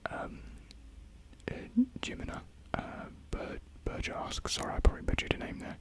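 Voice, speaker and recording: A young adult whispering softly and breathily into a close microphone in a casual ASMR chat, answering viewer comments in a hesitant, rambling, self-deprecating way. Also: moderate pace.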